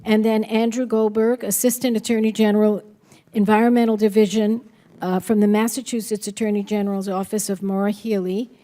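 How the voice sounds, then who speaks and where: An older woman hosting a press conference, introducing speakers one at a time in brisk remarks. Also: mid-range voice, flat delivery, warm tone.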